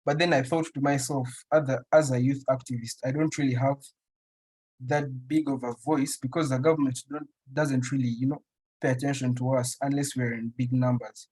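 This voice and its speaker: A man's voice: medium pitch, level tone, moderate pace, darkish tone, breathy edge, rough recording. A young man from Uganda, answering interview questions casually and thoughtfully, with frequent restarts and fillers. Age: young adult. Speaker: interview guest, writer.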